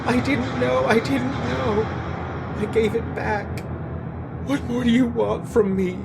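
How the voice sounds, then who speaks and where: A frightened old woman in a horror tale, performed by a male narrator, pitched far up and pleading frantically. Loud music plays underneath. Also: fairly even intonation, slow pace, creaky, full character voice. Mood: terrified, pleading. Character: terrified old woman pleading for her life. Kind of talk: scary story character voice. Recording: music behind.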